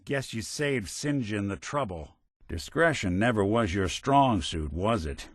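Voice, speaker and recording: An actor playing a world-weary, wry but kindhearted android detective in a video game, with hardboiled old-fashioned slang. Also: male voice, lowish voice, lively intonation, unhurried, dry recording, clean studio sound.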